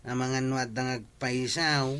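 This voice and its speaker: A man's voice: medium pitch, fairly even intonation, a little slow, a bit bright, clean tone, natural voice. A man playing a blunt, teasing villager in a comedy drama.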